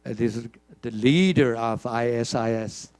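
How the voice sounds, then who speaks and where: A man's voice: medium pitch, moderate pace, darkish tone, clear, resonant tone. An older man giving a conference keynote, emphatic, asking the audience questions and often repeating words. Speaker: keynote speaker. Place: conference hall.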